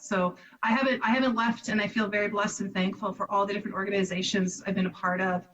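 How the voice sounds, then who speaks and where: A woman's voice: medium pitch, a bit bright, recorded from a distance, muffled recording. A Canadian woman in her fifties, a charity director, talking reflectively in an interview and laughing easily. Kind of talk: conversational interview.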